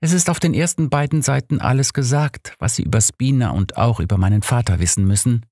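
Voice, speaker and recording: A man voicing a proud, resigned woman in an audiobook, quiet and defiant in manner. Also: mid-range voice, brisk, no echo, studio quality, close to an everyday voice. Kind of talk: audiobook character reading.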